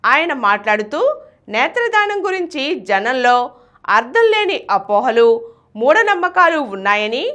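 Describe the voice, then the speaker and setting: Female news reader, high voice, animated intonation, scripted bulletin delivery. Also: measured pace.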